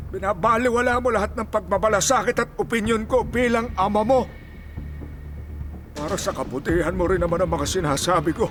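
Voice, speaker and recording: A man's voice: sits high, lightly acted. A man acting in a Filipino radio drama as an aggrieved elderly father, righteous and pleading, with an emotional, scolding manner. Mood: anguished, scolding. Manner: scolding, emotional, sighing interjections.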